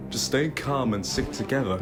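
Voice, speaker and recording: A man acting a calm, everyday airline passenger who takes charge in an audio drama. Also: medium pitch, moderate pace, over music, close to an everyday voice. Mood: steady, concerned.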